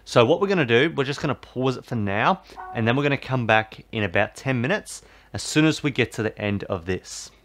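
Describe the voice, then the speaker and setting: A man explains a TV box reset in a loose, rambling way. His voice sits at an ordinary middle pitch, and he keeps circling back with fillers and restarts. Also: expressive, a little fast, a bit bright. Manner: rambling, filler-heavy, repeats "basically" and "obviously". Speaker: tech tutorial host. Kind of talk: casual how-to voice-over.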